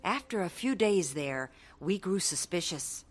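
A woman playing a grown woman looking back on her youth, in measured, scripted radio drama narration. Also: lowish voice, expressive. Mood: reflective. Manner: measured, reflective.

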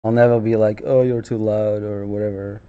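A man in his thirties chatting casually on a live stream from a small office, with a naturally loud voice and relaxed chuckling asides, into a headset mic. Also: lowish voice, moderate pace, clear, resonant tone. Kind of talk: casual chat while drawing on stream. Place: small office room, indoors. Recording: dull lo-fi stream audio.

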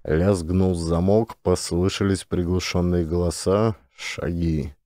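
A man's voice: low-pitched, steady tone, slow, background noise. A man reading a satirical story as a scripted audiobook narration.

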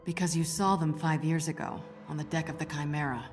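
A woman acting a cool secret agent in a video game. Her voice is very low, terse and controlled, with a dry delivery over faint music. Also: fairly even intonation, a little fast, natural voice. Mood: guarded regret. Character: cool, guarded female spy with a conscience.

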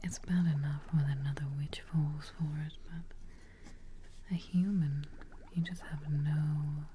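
A woman in her twenties whispering softly and reassuringly in an ASMR roleplay as a kindly witch, close to the mic. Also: sits low, fairly even intonation, a little hiss or hum. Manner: hushed, slow, reassuring. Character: kindly witch receptionist brewing a healing potion.

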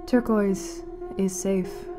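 A woman playing a grave, mournful radio announcer in a fiction podcast, speaking solemnly and dramatically with weighted pauses. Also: mid-range voice, steady tone, noisy background. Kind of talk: scripted fiction-podcast narration as a community radio broadcast.

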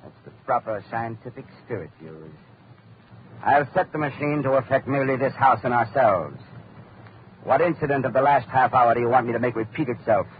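A noisy, narrow-band old radio drama recording of a man acting a deranged male inventor. He is bitter and murderous, gloating with theatrical menace in a medium-pitched voice. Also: lively intonation, brisk, natural voice. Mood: smug, exultant.

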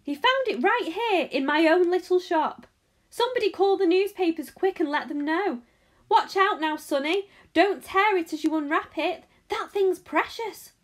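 A woman voicing an excitable, exclamatory fat male shopkeeper in a children's audiobook. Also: extremely high pitch, moderate pace, pitched above the performer's own voice. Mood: thrilled, yelling. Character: fat, pleasant shopkeeper man turning wildly excited. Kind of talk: children's audiobook character acting.

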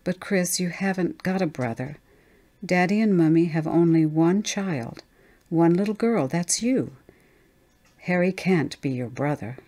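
Woman playing fearful young mother, brittle, emphatic, low mellow voice, dramatized story reading. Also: unhurried. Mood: firm. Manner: tense, emphatic.

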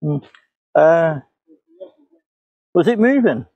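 A man's voice: fairly high pitch, animated, extremely slow, no echo, highs cut off. A man, walking through woodland and retracing his steps aloud in a casual vlog. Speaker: paranormal investigator. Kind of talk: casual walk-and-talk vlog. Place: woodland outdoors. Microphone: clip-on lapel mic.

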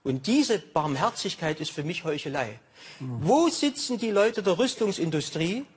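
A man's voice: a little high, expressive, moderate pace, slightly rough. A middle-aged man, a talk show guest, speaking in an agitated, emphatic way. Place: TV studio with audience.

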